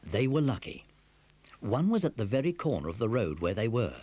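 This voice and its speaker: A man's voice: medium pitch, big pitch swings, brisk, dark tone, no echo. A man narrating a scripted children's adventure story as its storyteller. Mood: measured storytelling.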